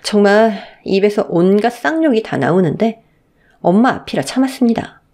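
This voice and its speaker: A woman's voice: medium pitch, expressive, moderate pace, darkish tone. A woman narrating a listener's story in the first person, in an indignant storytelling manner. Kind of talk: scripted narration of a reader's story.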